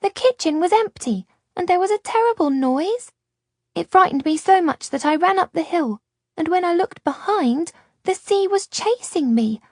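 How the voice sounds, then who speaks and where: A woman's voice: very high voice, animated, moderate pace, no echo, studio quality, voice pushed up. A woman reading a storybook, voicing a frightened little girl who accuses and complains. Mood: accusing. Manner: accusing, plaintive.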